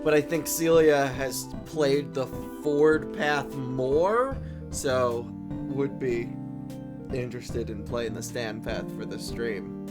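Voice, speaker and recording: A woman's voice: rumbling low, fairly even intonation, slow, over music. A woman hosting a casual live game stream and chatting with co-players and viewers. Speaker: livestream host.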